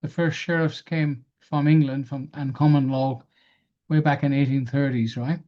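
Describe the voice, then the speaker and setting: A man's voice: medium pitch, steady tone, normal speed, warm tone, dull audio. A man informally explaining historical documents to his viewers.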